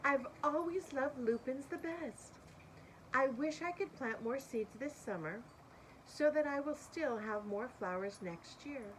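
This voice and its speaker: A woman voicing a gentle, hopeful older storybook heroine who loves flowers, earnest and exclaiming with delight. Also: high voice, animated, a little slow, a little hiss or hum, voice pushed up, rough recording. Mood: satisfied.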